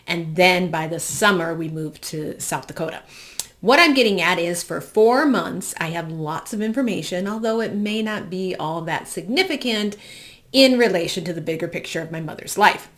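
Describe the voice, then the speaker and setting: A woman's voice: lowish voice, expressive, normal speed, fairly bright tone. An American woman in her forties from the Midwest, hosting with a personal, reflective monologue. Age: 40s. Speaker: host.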